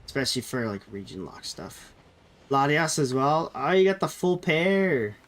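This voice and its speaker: A man's voice: fairly high pitch, animated, normal speed, fairly bright tone. A man streaming a game while sick with a cold, sounding nasal and chatting loosely with viewers, often correcting himself. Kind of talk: casual live-stream chat.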